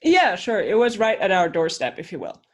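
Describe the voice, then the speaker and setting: A woman's voice: medium pitch, big pitch swings, normal speed. A woman from Switzerland in her thirties, co-hosting a casual podcast chat and telling stories with frequent restarts. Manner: chatty, storytelling with restarts. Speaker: podcast co-host, coach.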